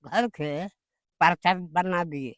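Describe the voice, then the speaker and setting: An elderly man with a strong rustic Bihari accent, a farmer haltingly recalling the past in an interview, slipping into dialect. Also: sits high, steady tone, fairly bright tone, no room sound, studio quality. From Bihar, India.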